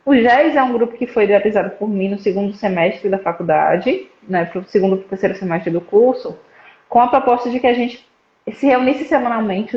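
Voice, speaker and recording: A young woman from northeastern Brazil, a psychology student, explaining her suicide prevention work in a casual live-stream chat, with frequent "né" tags. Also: medium pitch. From Petrolina, Pernambuco, Brazil. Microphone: video call. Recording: roomy, dull lo-fi live stream audio.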